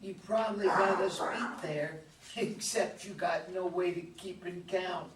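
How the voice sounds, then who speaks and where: A woman acting a sharp-tongued older farm wife in a play reading, folksy and dry, with an American accent. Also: lowish voice, fairly even intonation, measured pace, close to an everyday voice. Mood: dry, bitter. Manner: folksy, tart rural speech. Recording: distant mic, echoey room, faint noise.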